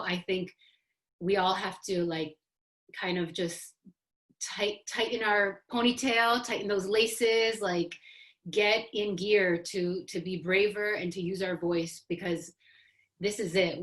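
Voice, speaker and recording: A woman from the Boston area speaking as an author on a panel, conversational, stressing points by repeating phrases. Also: mid-range voice. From Boston area, United States.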